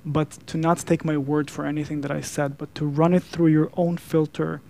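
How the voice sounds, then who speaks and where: A man from Serbia with a Serbian accent, a native Serbian speaker, giving an earnest talk in a deliberate, formal manner with emphatic pauses. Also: a little high, little pitch movement, creaky, darkish tone, a little hiss or hum. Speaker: speaker, coach and podcaster. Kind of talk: earnest public talk to a digital audience.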